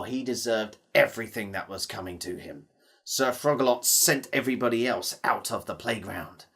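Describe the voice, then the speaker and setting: A storyteller telling a tale to children in a theatrical manner, with dramatic pauses and questions to the listeners. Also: mid-range voice.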